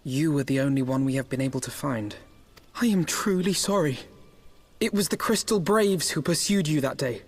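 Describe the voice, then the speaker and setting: A man's voice: on the high side, moderate pace, lightly acted. An actor playing an earnest, courteous young nobleman in a fantasy video game, with formal, grave phrasing. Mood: remorseful.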